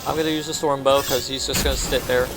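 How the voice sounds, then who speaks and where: A man's voice: mid-range voice, steady tone, fast talker, low-quality recording. A man giving a casual game walkthrough, narrating his own actions offhandedly in short phrases. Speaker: gameplay commentator. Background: game music.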